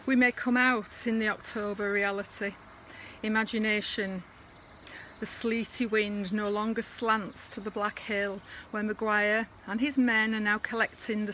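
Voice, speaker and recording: A woman's voice: mid-range voice, sharp tone, a little hiss or hum. A woman reader giving a dramatic recitation of poetry.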